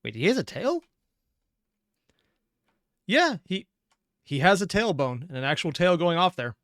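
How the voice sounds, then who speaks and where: A man's voice: high voice, big pitch swings, a little slow, a bit bright, no echo. Dry-sounding man reacting casually. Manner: musing, questioning, wry. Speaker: reaction video host. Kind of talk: casual running reaction commentary.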